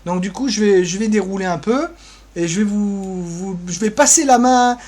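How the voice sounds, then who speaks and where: A man with a fairly high voice gives a casual, improvised walkthrough of what is on his screen. He thinks aloud in a rambling, offhand way, with a flippant streak. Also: moderate pace, darkish tone. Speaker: co-presenter giving a guided tour of social media pages.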